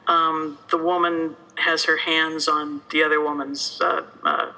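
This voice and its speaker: A woman's voice: low-pitched, flat delivery, unhurried, sharp tone, poor audio. A woman in a casual interview as a guest author, joking and poking fun at herself. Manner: joking, self-deprecating. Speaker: guest novelist being interviewed. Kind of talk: casual chat.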